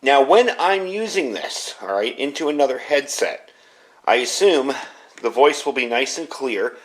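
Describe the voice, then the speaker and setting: A man's voice: medium pitch, animated, unhurried, poor audio. A man giving a casual hands-on gear review indoors, explaining things in a rambling, informal way. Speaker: product reviewer. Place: indoors at home.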